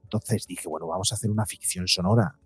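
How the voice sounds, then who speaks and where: A man's voice: low-pitched, fast talker, slightly rough, no room sound, faint music behind. Man from explaining, dry close sound. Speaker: psychologist and podcaster, interview guest. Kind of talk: explanatory interview talk. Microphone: close podcast mic, seated at a table.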